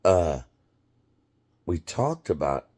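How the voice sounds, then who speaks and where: A man in his fifties tells a story in an unhurried, very deep voice. He rambles and stops to restart sentences, and laughter keeps slipping into his talk. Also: sing-song, a little mellow. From United States. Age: 50s. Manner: rambling, halting, quick to laugh. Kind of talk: casual storytelling.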